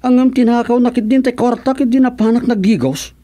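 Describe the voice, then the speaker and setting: A man's voice: very high voice, a little fast, mellow. A man playing a fed-up, grumbling villager in a radio comedy drama, drawing out his words.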